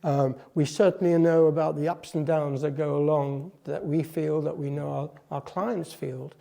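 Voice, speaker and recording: A man with a mellow, gravelly voice, set a little high for a man. He is a psychotherapist whose first language is French, and he talks thoughtfully about his work. He halts often, says um, and restarts his phrases as he searches for the right words. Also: fairly even intonation, moderate pace. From France, Netherlands, US, England; a mixed upbringing. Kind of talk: reflective interview talk.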